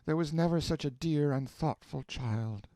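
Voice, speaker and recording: A man doing a gravely ill, doting mother. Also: on the high side, normal speed, warm tone, no echo, voice pushed up, close to an everyday voice. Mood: tender wistful. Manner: tender, wistful.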